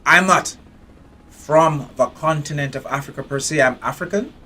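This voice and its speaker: A man's voice: fairly high pitch, moderate pace, pushed and bright. A man from Jamaica, giving blunt, profane live commentary while streaming a game.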